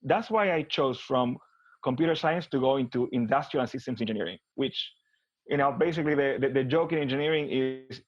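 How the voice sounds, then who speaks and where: A man with an Argentine accent speaks at an ordinary pitch in a casual interview, often restarting and repeating words as he thinks. The sound is dry with no room echo, and somewhat muffled. His delivery is conversational and unscripted. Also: a little fast. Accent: Argentine Spanish. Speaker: guest, business consultant.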